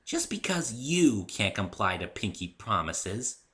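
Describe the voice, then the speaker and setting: A man voicing an excitable, pouty female cartoon pony in a dramatic story reading, with bubbly, emphatic delivery. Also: on the low side, unhurried, voice pushed up. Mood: indignant. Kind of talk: dramatic fan-fiction reading.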